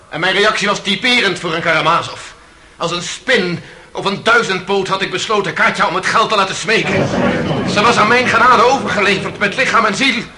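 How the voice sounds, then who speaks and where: An actor playing a passionate, proud and anguished defendant in a radio drama, speaking theatrically between hush and outburst. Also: male voice, sits high. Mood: bitter, agitated. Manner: theatrical, swinging from hushed to outburst. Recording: noisy old radio drama recording.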